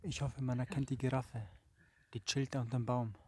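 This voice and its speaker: A man's voice: mid-range voice, flat delivery, normal speed, slight background noise. A man giving casual, enthusiastic travel vlog commentary in an informal way. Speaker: travel vlogger. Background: background music.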